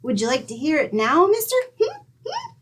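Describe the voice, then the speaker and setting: A woman's voice: sits high, wildly swooping pitch, moderate pace, a bit bright, a little hiss or hum, full character voice. A woman playing an eager, chatty little neighborhood girl in a radio comedy, with a childlike wheedling way of asking "mister?". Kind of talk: 1940s radio acting.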